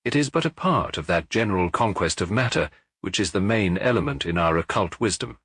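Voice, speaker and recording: A man's voice: sits low, animated, a little fast, a bit bright, husky, no room sound, studio quality, heavily acted. A male narrator playing a self-assured sun-cult prophet in an audiobook detective story, with lofty, declamatory delivery. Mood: solemn, smooth.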